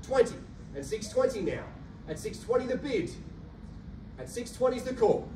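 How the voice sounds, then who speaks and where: A man in his thirties with an Australian accent, an auctioneer calling bids in a rhythmic, rapid-fire patter and coaxing buyers in a carpeted function room. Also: very high voice, big pitch swings. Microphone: handheld mic, filmed by a far camera. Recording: roomy echo from a distant camera, faint hum.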